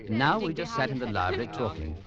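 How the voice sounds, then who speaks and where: An actor playing an earnest young gentleman, narrating in a measured storytelling manner in an old radio drama. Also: mid-range voice, sing-song, natural voice. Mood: grave. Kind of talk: 1940s radio acting. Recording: lo-fi radio recording with music.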